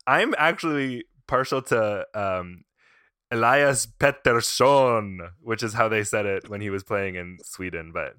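Bright-voiced laughing podcast host, man. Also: fairly low pitch, a little slow, clean tone, dry recording, clean studio sound. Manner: chatty, laughs often, tells stories. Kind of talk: casual chat.

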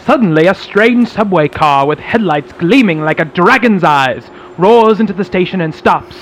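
High-voiced theatrical male radio narrator. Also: expressive, normal speed. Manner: theatrical, announcer-like. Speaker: podcast host and narrator. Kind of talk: dramatic old-time radio serial narration.

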